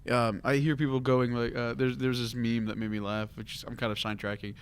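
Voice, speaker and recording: Male podcast co-host, average pitch, blunt and informal, conversational and loose. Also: level tone, fast. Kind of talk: casual panel discussion.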